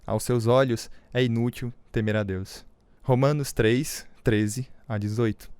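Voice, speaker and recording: An actor playing a firm, patient male Bible mentor with a Brazilian accent, teaching scripture in measured tones in a radio drama. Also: medium pitch, steady tone, a little mellow, clear, resonant tone, dry recording, natural voice. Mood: solemn. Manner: measured, preaching.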